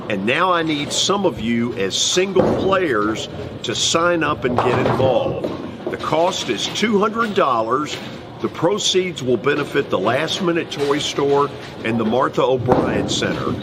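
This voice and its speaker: An American man hosting a radio show, speaking in the manner of sports talk and sponsor reads, with a husky voice. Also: fairly high pitch, heavy background noise.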